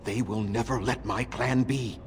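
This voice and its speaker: A man acting as a proud, bitter, ancient elf clan leader in a fantasy game, speaking in a measured, formal and condescending way. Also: medium pitch, fairly even intonation, faint music behind, lightly acted. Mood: anguished, bitter.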